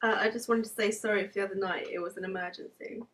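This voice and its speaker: A woman's voice: mid-range voice, fairly even intonation, fast talker, a bit bright, natural voice. Woman playing a hesitant, apologetic young woman. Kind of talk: casual acted dialogue.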